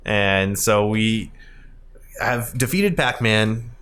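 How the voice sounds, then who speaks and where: A man's voice: on the low side, animated, drawn-out words, a bit bright, clear, resonant tone. A man hosting a podcast in a casual, rambling, conversational style, as he recaps a film. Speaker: podcast host.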